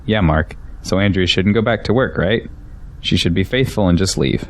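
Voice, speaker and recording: A man's voice: deep voice, moderate pace, close to an everyday voice. A young man playing a pragmatic, defensive arguer in an audio drama, with an argumentative delivery. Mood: challenging. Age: young adult.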